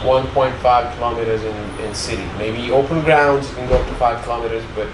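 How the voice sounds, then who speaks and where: A man's voice: mid-range voice, fairly even intonation, fairly quick. A man talking casually as a test partner, using short, repeated radio-check phrases. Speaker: test partner in a gadget review. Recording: echoey, clear background noise.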